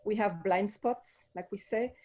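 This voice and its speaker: A woman's voice: medium pitch, unhurried, a little mellow. A woman with a French accent, a life coach speaking as a guest in a passionate, reflective way with frequent ums. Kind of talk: casual interview chat.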